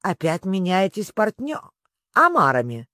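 A storyteller voicing a bossy griffin, matter-of-fact and quick to butt in loudly. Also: medium pitch, very animated, no room sound, studio quality, lightly acted. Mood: shouting. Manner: matter-of-fact, interrupting, shouting. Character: brisk, bossy, boisterous griffin.

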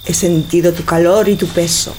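A woman's voice: lowish voice, fairly even intonation, moderate pace, darkish tone, a little hiss or hum, close to an everyday voice. A woman playing a sensual, dreamy young wife in love in an audio drama, with a rapturous, lyrical delivery. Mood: rapturous longing. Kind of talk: theatrical audio drama acting.

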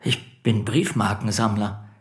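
A man's voice: on the low side, steady tone, measured pace, close to an everyday voice. An actor playing a meek, cornered man of forty in a German radio play, subdued, deferential and hesitant. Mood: quiet, cornered. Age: 40s. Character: meek, cornered forty-year-old male stamp collector.

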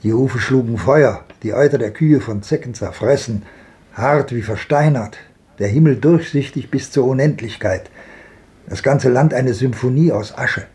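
Radio play casting: male actor as old wanderer, world-weary, dark mellow tone, medium pitch, lyrical declamation. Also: moderate pace, close to an everyday voice. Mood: grave, reminiscing. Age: older. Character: world-weary old wanderer looking back on a hard life. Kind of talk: 1950s German radio drama acting.

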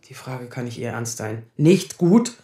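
A woman playing a sharp-tongued, anxious lawyer in her thirties in a naturalistic radio drama, sarcastic and defensive. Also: very deep voice, expressive, a little slow, reverberant. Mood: anxious, combative. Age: 30s.

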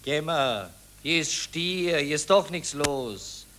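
Bright, irritable Austrian army doctor. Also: male voice, on the high side, animated, slow pace, a little hiss or hum. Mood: brusque. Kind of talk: satirical radio drama in verse.

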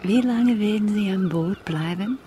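A woman's voice: mid-range voice, measured pace, noisy background, natural voice. An actress playing a flirtatious, pampered lady passenger in a radio drama, with an affected, coquettish delivery over music. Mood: languid, flirtatious. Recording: music behind the voice.

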